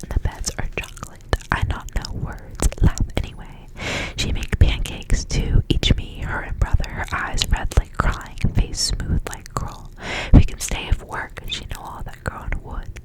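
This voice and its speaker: A woman reading a story aloud for ASMR in a breathy, unvoiced whisper, soft and hushed, in short phrases. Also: low-quality recording. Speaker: ASMR reader.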